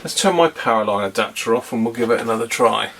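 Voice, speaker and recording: A man's voice: medium pitch, animated, fast, vocal fry, very noisy. A man giving a casual hands-on demonstration, thinking aloud as he goes. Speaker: vintage radio hobbyist presenter.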